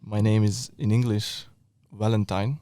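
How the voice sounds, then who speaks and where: A man's voice: lowish voice, level tone, unhurried, dark tone, dry recording, clean studio sound. A young man from Hungary with a Hungarian accent, co-hosting a casual podcast in English, a non-native speaker who hesitates with fillers and restarts. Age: young adult. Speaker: podcast co-host. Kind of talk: casual chat. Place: indoor room, seated in front of camera.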